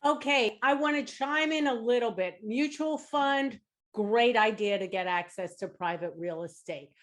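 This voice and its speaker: A woman with a fairly high voice speaks very slowly and deliberately, weighing her words as she adds cautions to the conversation. She sounds like a co-host leading the discussion and putting questions to guests. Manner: measured, cautionary. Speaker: podcast co-host. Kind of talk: casual finance talk.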